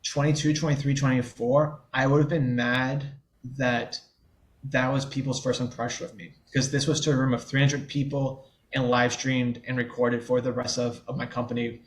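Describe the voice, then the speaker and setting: A man's voice: mid-range voice, steady tone, normal speed, slight background noise. A man in his twenties from the New Orleans area, a guest in a podcast interview who stutters openly, with blocks and repeated sounds, and talks in a candid, easygoing way.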